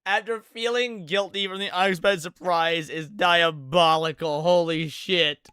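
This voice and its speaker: A man giving casual, crude reaction commentary as a streamer, thinking out loud, swearing freely and reading text aloud. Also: high-pitched, steady tone, measured pace, clean tone, no echo, clean studio sound. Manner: crude, profane, opinionated.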